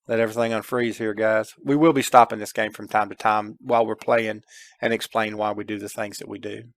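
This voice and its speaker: A man's voice: fairly low pitch, level tone, fairly quick, no echo. A middle-aged man giving a casual, folksy step-by-step game tutorial on a headset, addressing his viewers informally. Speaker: gameplay tutorial host.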